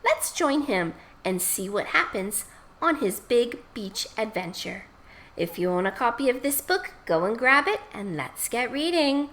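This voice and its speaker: A woman reading aloud to young children in an expressive, emphatic storytelling style. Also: fairly high pitch, measured pace. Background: children's music.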